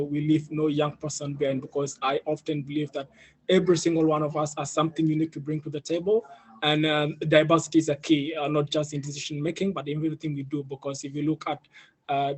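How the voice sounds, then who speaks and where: Even, higher-voiced young East African man. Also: rough recording. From Ethiopia, of South Sudanese family. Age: young adult. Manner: earnest, frequent "you know". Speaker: youth leader, panel speaker. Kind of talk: personal presentation on an online panel. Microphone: video call.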